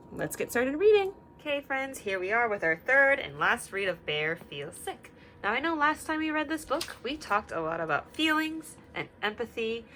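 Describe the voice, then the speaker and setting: A woman teacher reads a picture book aloud to young children from home, her voice gentle and sing-song, full of questions for her listeners. Her pitch sits in an ordinary middle range. A faint hiss of room noise runs behind her.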